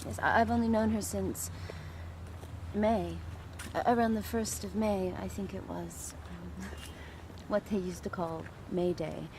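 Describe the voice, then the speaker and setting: An actress playing an anxious, guarded captive handmaid in an audio drama, in dialogue and intimate inner monologue. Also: female voice, mid-range voice, fairly even intonation, slow, noisy background. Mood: guarded, hesitant.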